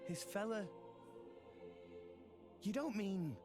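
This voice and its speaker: An actor playing an earnest, easily flustered teenage boy adventurer with a husky voice in a video game cutscene. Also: high voice, lively intonation, slow. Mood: surprised, flustered. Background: faint music.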